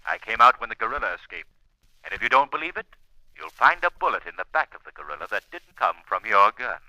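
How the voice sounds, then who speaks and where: An actor playing a composed, commanding, mysterious crime fighter in an old-time radio drama, with measured, dramatic delivery. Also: male voice, lowish voice, big pitch swings, sharp tone, no room sound, full character voice, rough recording. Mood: taunting.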